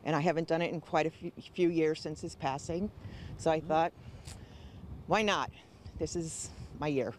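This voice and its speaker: A middle-aged woman speaks softly and reflectively in a brief outdoor interview. Faint outdoor ambience sits under her voice. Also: sits low, moderate pace, pauses often, a little hiss or hum. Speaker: donor. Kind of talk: TV news interview soundbite. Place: outdoors in a parking lot.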